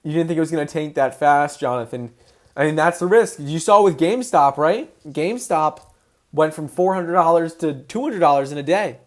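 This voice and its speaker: A man hosting a casual livestream, excitable and answering viewers' chat questions as he goes. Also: a little high, normal speed. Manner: excitable, voice rises when passionate.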